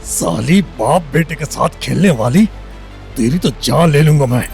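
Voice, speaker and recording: Male actor as hot-tempered father, rustic and threatening, slightly high pitch, audio drama, music underneath. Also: lively intonation, measured pace, a little mellow. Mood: angry. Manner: gruff, threatening, rustic speech. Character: hot-tempered, suspicious small-town father, heavy drinker. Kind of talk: Hindi audio story drama.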